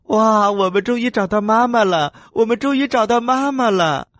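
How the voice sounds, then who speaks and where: A man voicing innocent, excitable little tadpole children looking for their mother in a children's storybook. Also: sits high, moderate pace, no echo, voice pushed up. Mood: overjoyed.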